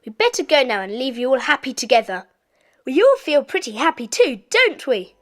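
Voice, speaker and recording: A woman's voice: high-pitched, very animated, normal speed, a bit bright, soft background music. A woman playing a keen, clever schoolboy detective in an audiobook dramatization. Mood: delighted.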